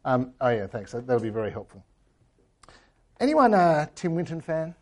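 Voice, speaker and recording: A hoarse man speaking to a gathering in an earnest, steady way. His voice sits at an ordinary pitch, and his sentences tend to fade off quietly. He sounds a little worn and croaky throughout. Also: moderate pace. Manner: earnest, often trailing off quietly. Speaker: speaker at a church talk. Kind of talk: talk with book reading.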